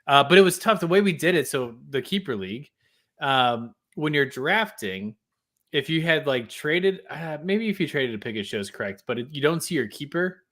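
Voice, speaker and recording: Man, podcast guest, hesitant filler-heavy chat, bright tone. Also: a little high, expressive, moderate pace. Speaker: podcast co-host. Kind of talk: casual chat.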